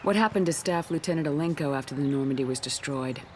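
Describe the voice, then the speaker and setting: A woman's voice: sits low, fast, a little mellow, slight background noise, lightly acted. An actress voicing a resolute, commanding female space commander in a video game, direct and firm. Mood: probing, resolute. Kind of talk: video game dialogue acting.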